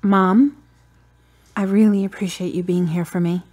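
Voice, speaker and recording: An actress playing a wry, guarded, stubborn daughter in a radio drama, with a husky voice and a deliberate, dry delivery. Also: female voice, medium pitch, fairly even intonation, darkish tone, lightly acted. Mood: calm, subdued.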